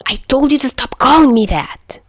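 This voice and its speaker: A woman's voice: high-pitched, lively intonation, normal speed, voice pushed up. A woman voicing a grumpy, easily annoyed young man with a curt manner in an amateur audio story. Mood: indignant. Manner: curt, irritable. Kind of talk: amateur voice acting in fan fiction reading.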